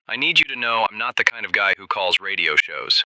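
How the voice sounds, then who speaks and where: An earnest, rattled desert ranger calling in on a radio drama. The voice is low but strikingly hard and tense, with halting, emphatic, plain-spoken delivery. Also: male voice, lively intonation, sharp tone, a little hiss or hum, exaggerated voice, low-quality recording. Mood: uneasy, insistent. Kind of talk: radio drama phone-in testimony.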